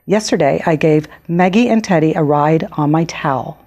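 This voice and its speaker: A woman reading aloud as an excitable six-year-old girl from a picture book, happy but quick to anger. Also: sits low. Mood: cheerful, recounting.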